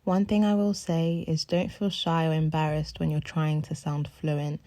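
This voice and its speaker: A woman giving calm, measured study advice as a voice-over. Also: sits low.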